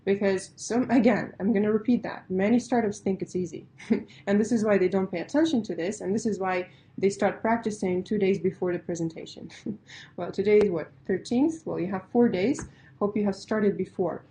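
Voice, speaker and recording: A woman whose first language is Russian, coaching startups on pitching in a direct, emphatic way, stacking repeated phrases. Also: normal speed, dark tone, low-quality recording.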